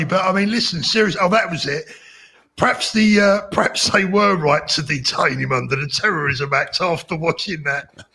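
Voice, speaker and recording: A man with a husky voice, hosting a casual, jokey live-stream chat in a rambling way. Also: high-pitched, pushed and bright, a little hiss or hum. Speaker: host.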